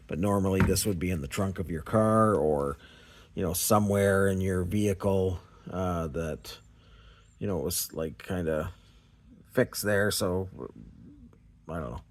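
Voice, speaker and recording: Low-voiced man, hesitant casual explainer. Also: a little mellow. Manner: hesitant, unsure, halting explanation. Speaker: video host. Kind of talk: casual show-and-tell explanation.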